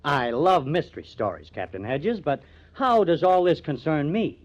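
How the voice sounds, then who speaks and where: A man's voice: a little high, animated, normal speed. An actor playing a cynical young male newspaper artist with an affected, wry manner in an old-time radio crime drama, on a muffled old recording. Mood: wry, mocking. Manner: sardonic, affected, theatrically wry.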